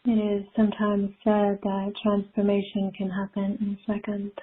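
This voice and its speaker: A woman leading a spiritual guided meditation in a soothing, gentle manner with long pauses, recorded from a live call. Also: medium pitch, completely flat delivery, husky. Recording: muffled phone-like live call audio.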